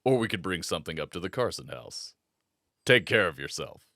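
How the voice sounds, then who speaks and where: A man's voice: deep voice, sing-song, a little fast, sharp tone, no echo, exaggerated voice. An actor playing a friendly, joking male werewolf who guards his pack's home. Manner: laid-back, joking. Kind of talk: audio roleplay. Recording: dry close recording.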